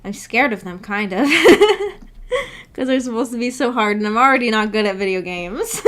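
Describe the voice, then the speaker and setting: A woman's voice: medium pitch, a bit bright. A woman hosting a casual livestream, reading out and answering viewer comments in a giggly, hesitant, self-effacing way. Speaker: livestream host.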